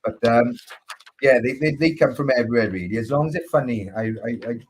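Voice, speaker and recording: A man's voice: mid-range voice, animated, fast, slightly rough. A man from Wales co-hosting a casual chat show, with a Welsh accent, joking and self-mocking with stumbling restarts. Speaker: co-host.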